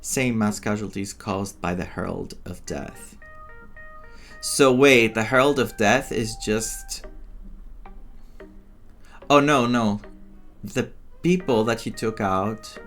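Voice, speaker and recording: A man's voice: on the low side, slow pace, long pauses, fairly bright tone. A man giving casual playthrough commentary, reading game text aloud and reacting to it, with music behind him. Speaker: gameplay streamer reading and reacting. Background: game music with guitar.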